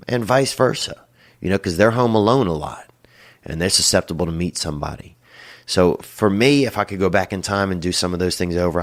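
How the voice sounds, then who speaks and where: A middle-aged man hosting a call-in show, giving rambling, crude advice in a loose drawl, peppered with "you know" and "boy.". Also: low-pitched. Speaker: podcast host giving advice.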